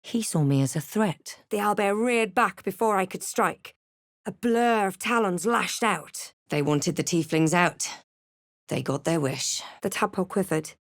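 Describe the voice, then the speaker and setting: An actress voicing a young female video game adventurer, wry, wary and thoughtful, with measured, theatrical delivery. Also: medium pitch, expressive, no echo, clean studio sound. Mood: grave. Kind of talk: video game character voice lines.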